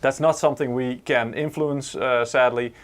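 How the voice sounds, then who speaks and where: A man's voice: medium pitch, steady tone, normal speed. Man explaining things on a stream. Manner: explanatory, hedging, many fillers. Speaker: co-host. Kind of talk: casual live stream chat.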